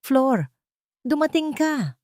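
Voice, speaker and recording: A woman's voice: high voice, big pitch swings, slow, darkish tone, no room sound, clean studio sound, pitched above the performer's own voice, heavily acted. A woman with a Filipino accent voicing a broken, disgraced husband who begs desperately from prison in an audiobook drama. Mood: desperate pleading. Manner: desperate, begging.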